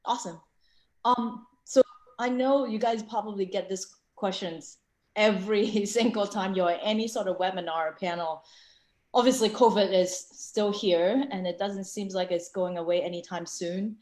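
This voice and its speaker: A woman's voice: mid-range voice, moderate pace, a little mellow. Woman hosting a zoom panel, lots of ums, roomy echo. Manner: filler-heavy, chatty, frequent restarts. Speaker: webinar host and co-founder. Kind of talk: casual panel moderation. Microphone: video call. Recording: echoey room.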